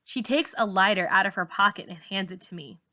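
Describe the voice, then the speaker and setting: A woman narrates a first-person story like a teacher reading to a class. Her voice is mid-pitched and bright, with a forceful edge and expressive delivery. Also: brisk. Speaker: teacher reading a novel aloud.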